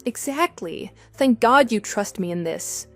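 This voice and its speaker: A woman's voice: fairly high pitch, animated, measured pace. A woman playing a loyal, easily exasperated wife in a scripted online radio drama. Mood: relieved, agreeable. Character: exasperated, loyal wife, indignant but trusting. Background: background music.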